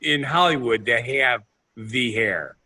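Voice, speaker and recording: A man's voice: mid-range voice, slow, bright, forceful tone, slightly rough. A man co-hosting a podcast in a casual, playful, meandering chat. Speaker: podcast co-host.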